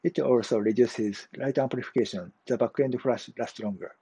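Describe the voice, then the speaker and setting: A man, giving a measured, prepared testimonial to camera over a video call. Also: medium pitch, steady tone, dark tone, husky. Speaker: customer engineer giving a testimonial. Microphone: webcam video call framing.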